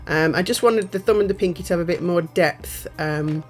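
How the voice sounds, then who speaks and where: Woman, lowish voice, stuffy nasal tone from a cold, rambling casual voiceover, faint music under it. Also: fairly quick. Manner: chatty, rambling, self-correcting. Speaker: nail art channel host.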